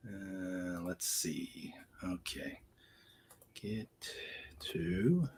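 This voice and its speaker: A man chatting casually as co-host of a livestream, halting and often searching for his words. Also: sits low, expressive, darkish tone, slight background noise.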